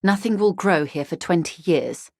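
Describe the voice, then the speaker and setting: A shrewd corporate negotiator in a video game, with a lowish female voice recorded close and dry. She is composed and articulate, choosing formal words with grave, frank urgency. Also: lively intonation, normal speed, no room sound. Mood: grave warning. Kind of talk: scripted video game character dialogue.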